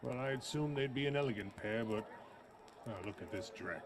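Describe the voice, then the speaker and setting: A man's voice: mid-range voice, moderate pace, noisy background, close to an everyday voice. A man playing a snobbish, hostile townsman full of disgust in an old-time radio drama.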